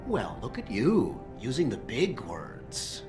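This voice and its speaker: A man's voice: mid-range voice, wildly swooping pitch, takes it slowly. A man playing a sarcastic, condescending corporate computer security expert in a video game. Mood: sarcastic, irritated. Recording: game soundtrack with music under speech.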